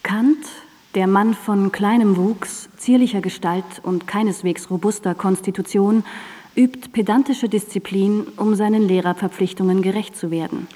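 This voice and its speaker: A woman narrates a documentary radio play. Her medium-pitched voice is soft-edged and mellow, with composed, deliberate delivery. A long reverb follows her words. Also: steady tone. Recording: reverberant studio, digitized cassette radio play.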